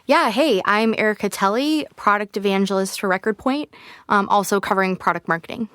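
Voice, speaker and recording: Lady guest on a podcast, explains stuff smooth and clear, dry mic. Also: mid-range voice, fairly quick, fairly bright tone, no echo. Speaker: podcast guest, product evangelist. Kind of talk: conversational podcast interview.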